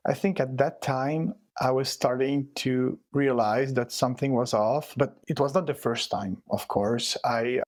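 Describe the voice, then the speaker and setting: A man's voice: medium pitch, no room sound. Swiss man with a French accent. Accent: French-speaking Swiss. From Switzerland. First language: French and Italian. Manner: searching for words, many fillers and restarts. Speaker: guest artist. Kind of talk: casual conversational interview.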